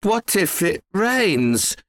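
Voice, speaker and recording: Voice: mid-range voice, big pitch swings, slow pace, a bit bright, a little hiss or hum, pitched above the performer's own voice, exaggerated voice. A storyteller voicing an earnest, eager young boy. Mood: worried.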